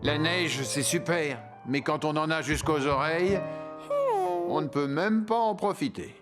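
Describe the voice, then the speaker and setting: A man's voice: on the high side, a little slow. A man narrating a children's cartoon as a scripted storyteller. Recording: loud music behind.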